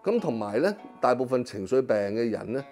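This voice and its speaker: A middle-aged man with a Hong Kong Cantonese accent, a Chinese medicine practitioner explaining his work in an interview, pausing often with drawn-out fillers. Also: medium pitch, very animated, darkish tone, faint music behind. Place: small clinic consulting room.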